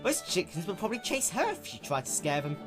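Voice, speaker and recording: A woman acts out a brash tomboy pegasus from a cartoon fan story. The voice is pushed high and jumps around with excitable, teasing cockiness. The delivery is slangy and full of exclamations. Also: quick pace, over music. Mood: dismissive. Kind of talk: dramatic fan-fiction reading.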